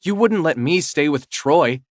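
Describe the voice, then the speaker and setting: A man's voice: a little high, lively intonation, measured pace, dry recording, clean studio sound, pitched above the performer's own voice. A man voicing a teasing, worried girlfriend. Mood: upset, questioning. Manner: teasing, then sharp and questioning. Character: loving young girlfriend, affectionate then upset.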